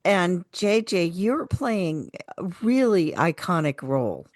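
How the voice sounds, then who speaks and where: A woman's voice: on the low side, sing-song, slow pace, darkish tone, no echo, clean studio sound. A woman co-hosting a radio interview, asking questions and encouraging her guests. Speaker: interviewer, co-host.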